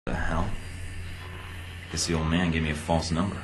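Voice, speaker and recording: An actor playing a young American secret agent who is cool, dry, understated and determined. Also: male voice, rumbling low, flat delivery, normal speed, noisy background. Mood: guarded.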